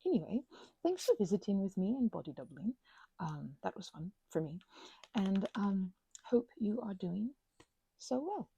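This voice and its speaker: A woman chatting gently in a meandering ASMR ramble, full of fillers and restarts, speaking affectionately to her listeners. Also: mid-range voice, big pitch swings, moderate pace, gravelly, husky, no room sound, poor audio. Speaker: ASMR creator. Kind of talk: soft-spoken ASMR ramble while crafting.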